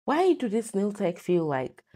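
A woman's voice: medium pitch, wildly swooping pitch, measured pace, warm tone. A young woman hosting a casual reaction video, chatty and incredulous, filmed in a small room. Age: young adult. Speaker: reaction host.

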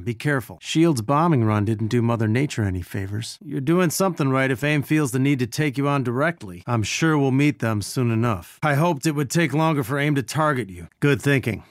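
Male actor as earnest scientist resistance leader, male, video game mission dialogue, medium pitch, measured and instructive, dryly wry, no room sound. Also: no room sound, studio quality, close to an everyday voice. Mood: focused, wary.

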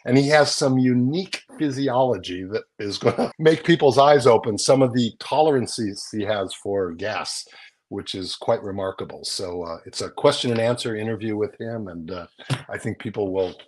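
A man's voice: on the low side, moderate pace. An older man talking through news items in a casual, explanatory way on a video call from a room at home, often tagging on little questions. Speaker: magazine editor and guest presenter. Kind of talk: casual news rundown in conversation. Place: small home room with bookshelves, indoors. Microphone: video call, close to the screen.

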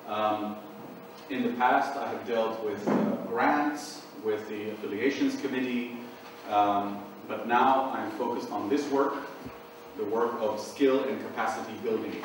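A man in his forties with a fairly loud voice talks to a small group at a conference, relaxed and chatty, with plenty of ums. He sits at a middle pitch. He is heard from across an echoey small room, with steady noise behind him. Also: far from the mic. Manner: relaxed, conversational, with frequent ums and uhs. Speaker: conference presenter. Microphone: far camera, speaking without a microphone.

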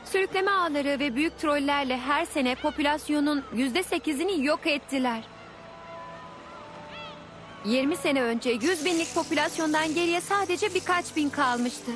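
A woman's voice: sits high, lightly acted. A woman voicing an earnest, concerned young pupil in a dubbed educational cartoon.

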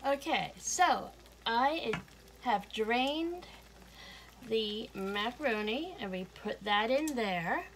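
Slow, homey woman cooking in her kitchen. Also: a little high, lively intonation, background noise. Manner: homey, unhurried, chuckles often. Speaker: home cooking vlogger. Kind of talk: casual cooking demonstration. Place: home kitchen, indoors. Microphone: fixed camera at counter, speaker moving around.

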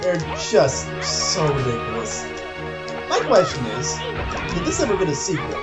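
Male gaming co-host, animated and swooping, sarcastic and foul-mouthed, loud game music behind. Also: fairly high pitch, normal speed. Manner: sweary, incredulous, sarcastic. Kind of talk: casual gameplay banter commentary. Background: loud fighting game music.

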